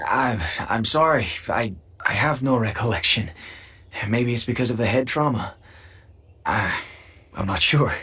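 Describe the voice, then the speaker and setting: An actor playing a gentle, weary father who has lost his memory, speaking haltingly and tenderly with a husky voice in a video game style. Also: mid-range voice, expressive, heavily acted. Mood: halting, sombre. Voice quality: husky, breathy. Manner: halting, tender, repeats words.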